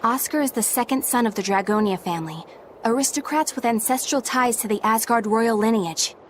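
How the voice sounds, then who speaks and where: A woman's voice: fairly high pitch, a little fast, a little hiss or hum, lightly acted. An actress playing an earnest young woman exorcist who speaks in a composed, sincere way. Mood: explaining. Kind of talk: game voice acting.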